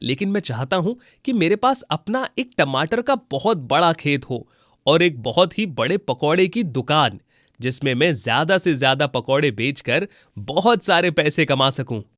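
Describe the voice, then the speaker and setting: Higher-pitched earnest village husband, acted by a man. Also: animated, moderate pace, a bit bright, no echo, clean studio sound. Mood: hopeful. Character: humble, good-hearted village husband, pakora seller. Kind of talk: children's moral story cartoon acting.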